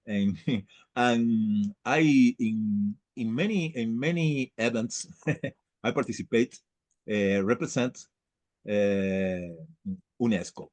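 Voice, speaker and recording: A man who speaks English as a second language, lecturing on a video call in a halting way, searching for words and repeating phrases for emphasis. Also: on the low side, a little mellow. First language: not English. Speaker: lecturer leading an online class.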